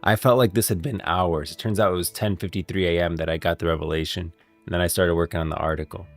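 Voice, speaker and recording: Deep voiced guy streaming, casual game lore talk straight to chat, dry sound w faint music. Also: fairly even intonation, fast talker, dry recording. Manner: informal, addresses viewers directly. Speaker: livestream host.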